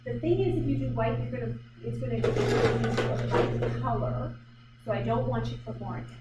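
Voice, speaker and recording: A woman's voice: on the high side, normal speed. A woman artist leading a live painting demonstration, thinking aloud in a chatty, hesitant way with many fillers. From Vancouver Island, Canada. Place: art gallery. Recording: echoey room from far off, loud background noise.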